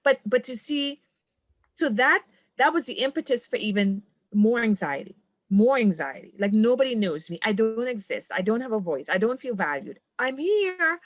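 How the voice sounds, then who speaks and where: A woman's voice: a little high, sing-song, normal speed, no room sound. Reflective woman guest from Trinidad. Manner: reflective, earnest, laughs often. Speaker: guest, coach. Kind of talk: podcast conversation.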